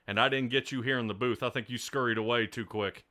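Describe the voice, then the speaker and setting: Bright-voiced man hosting a race broadcast. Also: medium pitch, steady tone, fast. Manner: rambling, restarts phrases, upbeat. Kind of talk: sim racing commentary and driver interview.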